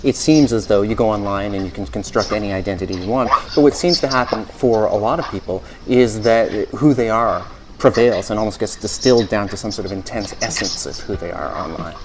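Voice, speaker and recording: Man, somewhat low voice, interviewed commentator, explanatory talk, plainly noisy recording with clicks. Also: a little fast.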